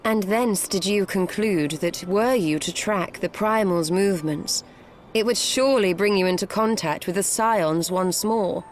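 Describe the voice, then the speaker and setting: A woman's voice: mid-range voice, measured pace, slight background noise, close to an everyday voice. An actress playing a composed, scholarly woman in a fantasy game, speaking in dry, formal, old-fashioned phrases. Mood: dry, reproving.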